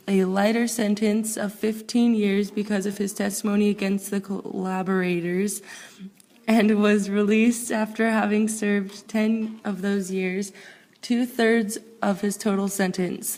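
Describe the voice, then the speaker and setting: Woman reading a script haltingly. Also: medium pitch, a little mellow. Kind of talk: scripted narration, read aloud.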